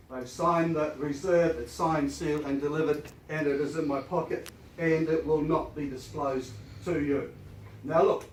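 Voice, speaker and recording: A man with a New Zealand accent, an auctioneer with a croaky voice, reading formal auction terms briskly and then selling the property with enthusiasm. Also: on the high side. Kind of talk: formal auction preamble and sales pitch. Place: auction room with audience. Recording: echoey room, mic at a distance, faint noise.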